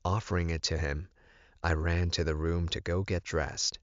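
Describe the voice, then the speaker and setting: A man's voice: sits low, level tone, moderate pace, no echo, highs cut off. A man narrating a first-person story as a scripted audiobook.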